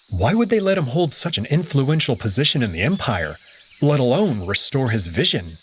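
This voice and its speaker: A man's voice: medium pitch, a little hiss or hum. A man giving reflective first-person narration of a visual novel, voicing its lead character.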